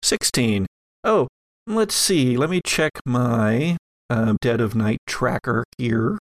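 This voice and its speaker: A man running a tabletop horror game as game master, improvising the narration haltingly and thinking aloud. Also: medium pitch, lively intonation, dry recording, clean studio sound.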